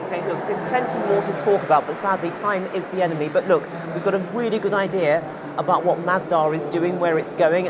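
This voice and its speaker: A woman hosting a broadcast interview, polished and upbeat in a conversational way. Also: on the low side. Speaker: interview host. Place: studio at an outdoor event. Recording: noisy background ambience with traffic.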